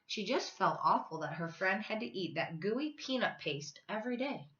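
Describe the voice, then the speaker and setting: A woman teacher reading a children's story aloud, with expressive, emphatic delivery and drawn-out words. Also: mid-range voice, lo-fi sound.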